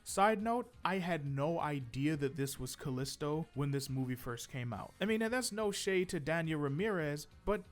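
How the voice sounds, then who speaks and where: Guy doing casual opinionated youtube commentary, kinda high voice, faint music under him. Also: animated, moderate pace, few pauses. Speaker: host of a comic book video channel. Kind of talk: scripted video essay commentary with casual asides. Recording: music behind the voice. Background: background music.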